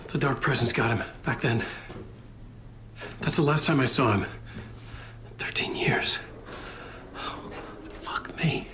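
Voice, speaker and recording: An actor playing a haunted, confused writer in a video game, halting and muttering. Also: male voice, mid-range voice, bright, forceful tone, in a whisper. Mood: fearful, grim. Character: haunted, confused male writer, long missing. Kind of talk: dramatic video game acting.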